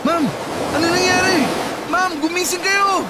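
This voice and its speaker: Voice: extremely high pitch, steady tone, a little slow, very noisy. An actor playing a friendly, polite young male motorcycle taxi rider in a dramatized audio love story, concerned and teasing. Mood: alarmed, concerned.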